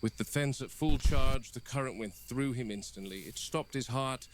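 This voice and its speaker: A stern, exasperated game warden played by a man speaks gravely and bluntly, as if logging an incident for a found-footage horror film. The voice sits at a medium pitch and is deliberate, over faint noisy night ambience. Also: lightly acted. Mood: grim, stern.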